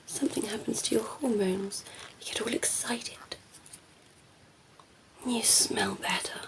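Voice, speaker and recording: A woman playing a cheeky, flirtatious female vampire in a soft, teasing ASMR roleplay, often slipping into whispers. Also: a little high, steady tone, a little slow, creaky, poor audio. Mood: playful teasing.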